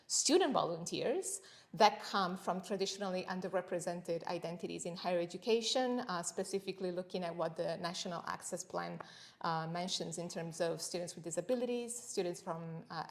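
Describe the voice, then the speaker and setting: A middle-aged woman from Italy, Italian her first language, talks through teaching and equity in a calm, measured interview manner. Her voice sits a little low for a woman, and she pauses often to find her words. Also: gravelly. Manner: thoughtful, measured, with frequent pauses and fillers. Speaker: senior lecturer, interview guest. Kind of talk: academic interview conversation.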